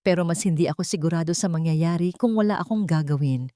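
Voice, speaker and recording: An anxious, wronged Filipino wife, voiced by a woman narrator in an audiobook drama. She speaks softly and hesitantly in a fairly low register, recorded dry. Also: fast talker, no room sound, lightly acted. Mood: unsure but resolved. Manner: hesitant, soft-spoken.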